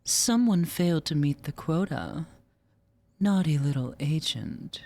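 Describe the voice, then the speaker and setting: A woman plays a female superior who scolds and teases her agent in a roleplay. She is domineering and sultry, drawing out each phrase slowly in a soft, relaxed, slightly low tone. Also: full character voice. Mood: teasing, scolding. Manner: slow, sultry, condescending drawl. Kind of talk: scripted roleplay voice acting.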